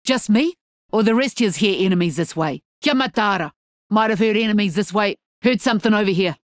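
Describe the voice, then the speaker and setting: An actress playing a tough, scrappy brawler with a New Zealand accent, cocky and slangy, in a video game. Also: female voice, medium pitch, fairly quick, no room sound, natural voice.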